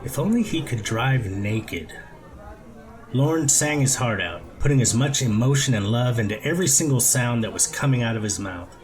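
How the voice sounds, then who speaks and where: A man reads a story aloud as an audiobook narrator. His pitch sits in the middle range for a man. The delivery is scripted prose narration. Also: animated, moderate pace. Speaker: audiobook reader.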